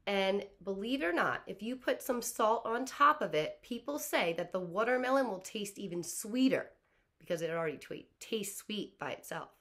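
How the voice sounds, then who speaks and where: A woman in her thirties, a kindergarten teacher, explaining things to small children in simple, deliberate phrases. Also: medium pitch, animated, fairly bright tone. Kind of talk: explaining to young children before a read-aloud. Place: small living room, on a couch.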